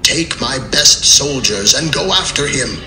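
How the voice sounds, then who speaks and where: Bossy urgent king in bible drama, sharp forceful voice, echoey. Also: medium pitch, expressive, a little slow, exaggerated voice. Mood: urgent orders. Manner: commanding, declamatory. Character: male king giving military orders, authoritative, urgent. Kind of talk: dramatised audio Bible acting. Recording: echoey room, faint music behind.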